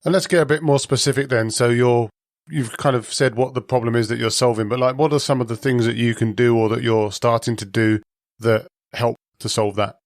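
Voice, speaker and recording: A man's voice: medium pitch, steady tone, brisk, no echo. Chatty male podcast host, dry sound. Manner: conversational, rambling questions. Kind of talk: podcast interview.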